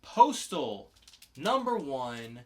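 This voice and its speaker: A man's voice: medium pitch, sing-song, very slow, fairly bright tone. A man co-hosting a podcast in casual banter, with a playful game-show announcer flair and some swearing. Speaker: podcast co-host.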